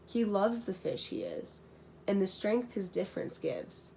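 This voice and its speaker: Young woman reading kids rhymes kinda slow, goofy casual asides, slangy, bit of noise behind her. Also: medium pitch, huge pitch swings, creaky, dark tone, poor audio. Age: young adult. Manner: informal, giggly asides, slangy. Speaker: storyteller reading an original children's book. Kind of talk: rhyming storybook read-aloud with casual joking asides.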